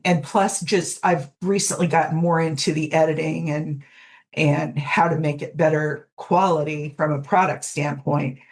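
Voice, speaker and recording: A woman's voice: low-pitched, steady tone, moderate pace. A middle-aged woman chatting casually as an interview guest, explaining things in a reflective way. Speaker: guest podfic narrator.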